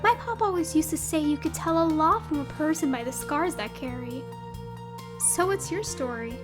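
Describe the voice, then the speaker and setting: A woman's voice: high voice, normal speed, close to an everyday voice. A woman playing a cheeky, forward young farmhand with a girlish voice in an audio drama. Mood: friendly, forward. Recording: music behind the voice.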